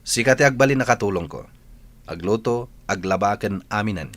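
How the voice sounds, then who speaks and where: A man's voice: fairly low pitch, animated, fairly quick, a bit bright. A man playing a domineering, demanding village playboy in a radio drama, bossy in delivery. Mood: commanding.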